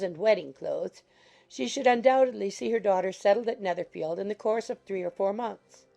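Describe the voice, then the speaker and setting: A woman's voice: mid-range voice, creaky, mellow. A woman reading scripted literary narration as an audiobook narrator. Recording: faint music behind the voice.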